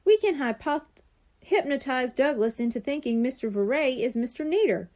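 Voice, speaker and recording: A woman's voice: sits high, animated, moderate pace, darkish tone. A woman voicing an earnest, eager young schoolboy in a children's audiobook.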